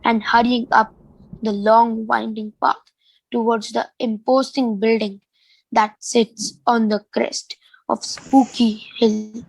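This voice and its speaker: A woman narrating a spooky radio play in a deliberate storybook delivery. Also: on the high side, level tone, vocal fry, slightly rough, no room sound, low-quality recording.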